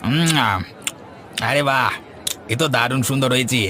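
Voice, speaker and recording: A man's voice: medium pitch, measured pace, noisy background, lightly acted. A man voicing a curious, chatty village customer in a cartoon. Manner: chatty, inquisitive. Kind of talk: Bengali cartoon voice acting. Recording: background music.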